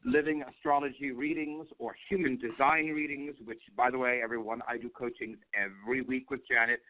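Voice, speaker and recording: A man's voice: medium pitch, fairly even intonation, fairly quick. A man with a head cold hosts a radio show in casual, profane, joking chat; he sounds snotty. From US East Coast. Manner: chatty, profane, jokey. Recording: phone-like lo-fi audio.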